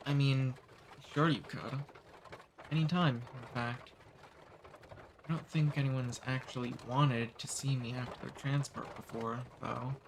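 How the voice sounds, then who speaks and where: A man voicing a friendly, centuries-old male demon in an ASMR roleplay. He talks calmly and teases gently. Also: mid-range voice, a little slow, gravelly, noisy background. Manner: calm, friendly, gently teasing.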